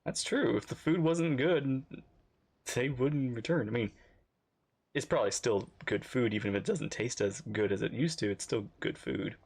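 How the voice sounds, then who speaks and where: A man's voice: mid-range voice, normal speed, vocal fry, a little mellow, husky. A man hosting a reaction video, commenting casually on a video game as it plays.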